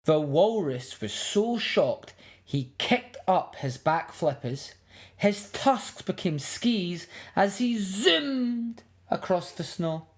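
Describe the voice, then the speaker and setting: A woman reading a picture book aloud to young children in an expressive, dramatic way. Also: lowish voice, takes it slowly. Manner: expressive, dramatic, with emphatic sound words.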